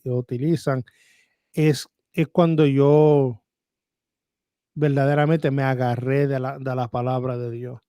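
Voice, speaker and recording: A man, a Bible teacher, talking as a podcast guest in halting, word-searching Spanish that he says is rusty. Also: mid-range voice, dark tone, clean tone, dry recording, clean studio sound. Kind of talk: podcast interview testimony.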